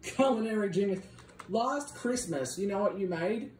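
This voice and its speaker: A casual Australian voice mocks and scolds in lively swings of pitch. It is caught on a phone in a small, echoey bedroom with faint room noise. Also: normal speed. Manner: mocking, bossy, jumps between high and low. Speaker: vlogger filming a family skit. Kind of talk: casual teasing banter. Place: small bedroom with blinds and a gaming desk. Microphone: handheld phone.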